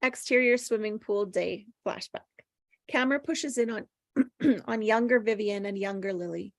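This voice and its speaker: A woman's voice: medium pitch, normal speed, gravelly, no room sound. A woman reading screenplay stage directions as plain, descriptive narration at a table read.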